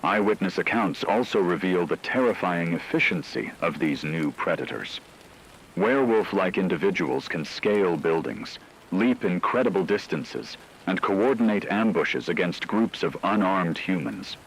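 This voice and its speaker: A man playing a solemn radio news anchor, reading a formal, measured emergency broadcast in a scripted drama. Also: sits low, expressive, a little hiss or hum, rough recording. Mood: grave, urgent. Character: grave male radio news anchor reporting a werewolf apocalypse.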